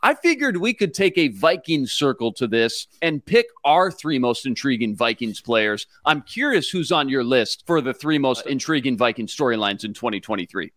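A man co-hosting a sports podcast, giving brisk, stat-heavy analysis in a prepared way. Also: medium pitch, very animated, fairly bright tone, no room sound, clean studio sound. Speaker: producer and co-host.

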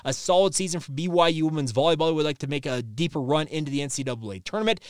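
Male podcast host, thirties, slightly high clean voice, conversational sports commentary with plenty of ums. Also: very fast, clear, resonant tone, no echo, studio quality. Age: 30s.

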